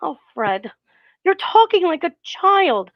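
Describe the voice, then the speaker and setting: A woman's voice: very high-pitched, very animated, takes it slowly, dry recording, highs cut off, heavily acted. An actress playing a practical, sceptical career woman in a 1940s-style radio play, earnest and reproachful. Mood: firm, dismissive.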